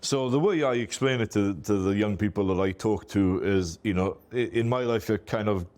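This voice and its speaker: A middle-aged Welshman with a strong Welsh accent talks in a low voice about his own business. He is chatty and self-deprecating, loosely built sentences full of "you know", Welsh being his first language. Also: normal speed, a little mellow. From Wales. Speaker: MSP business owner, guest. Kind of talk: casual interview talk.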